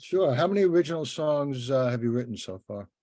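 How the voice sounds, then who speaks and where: Mellow-voiced older man interviewing. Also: medium pitch, lively intonation, a little fast, dry recording. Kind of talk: conversational interview.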